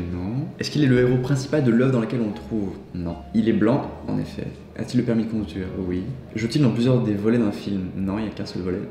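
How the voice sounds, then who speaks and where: Low-voiced man reading out game questions. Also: mellow, roomy sound. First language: French. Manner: reads the game's questions aloud and answers them himself. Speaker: YouTuber playing an online guessing game. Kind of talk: casual gameplay commentary.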